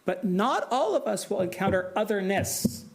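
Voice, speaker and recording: Lively American man giving a lecture. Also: high voice, gravelly. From United States. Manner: measured, explanatory, with asides and filler "right?". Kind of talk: academic symposium lecture. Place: lecture hall.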